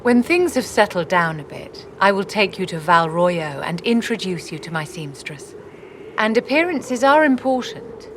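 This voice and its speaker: An actress voicing an elegant, imperious court lady in a fantasy game, measured, haughty and calculating. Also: mid-range voice, lively intonation, lightly acted. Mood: poised, haughty. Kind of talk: dramatic video game acting. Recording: clearly noisy ambient background.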